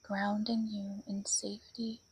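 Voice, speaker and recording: Woman doing a guided meditation, real slow and breathy, almost whispering, bit of noise behind her. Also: medium pitch, level tone, a little mellow, low-quality recording. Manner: soothing, breathy, often drops toward a whisper.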